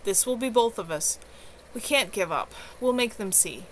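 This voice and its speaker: A woman's voice: on the high side, lively intonation, normal speed, slight background noise, close to an everyday voice, low-quality recording. A woman voicing a determined, commanding young woman in a novel reading, firm and insistent.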